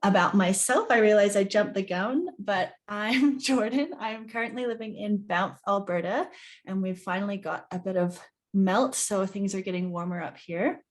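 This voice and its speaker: A woman in her thirties, from New Zealand, talks about her writing as an interview guest. Her delivery is animated, rising and speeding up in quick bursts. She sounds like she is on a video call. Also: medium pitch. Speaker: guest author. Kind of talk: conversational interview.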